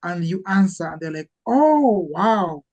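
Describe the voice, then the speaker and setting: Man, earnest medic guest, halting delivery. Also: sits high, sing-song, darkish tone, highs cut off. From Kenya. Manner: earnest, halting, repeats words as he searches. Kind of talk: casual interview chat.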